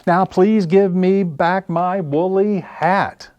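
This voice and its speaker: A man's voice: high voice, steady tone, slow, a little mellow, no room sound, dull audio, pitched above the performer's own voice. A man voicing an earnest young bear who pleads emphatically in a children's storybook. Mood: pleading, insistent. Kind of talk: storybook character read aloud for children.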